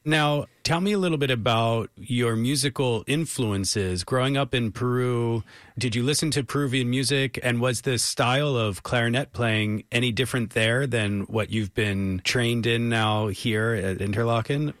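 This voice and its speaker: A man's voice: mid-range voice, moderate pace, a bit bright, dry recording, studio quality. A man with an American accent hosting a public radio music interview in an effusive, encouraging manner. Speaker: radio show host and interviewer.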